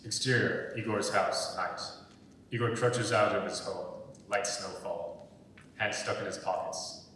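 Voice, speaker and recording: A man's voice: steady tone, a little slow, bright, forceful tone. A young man narrating the stage directions of a script. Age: young adult. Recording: very echoey hall, distant mic, faint rumbling noise.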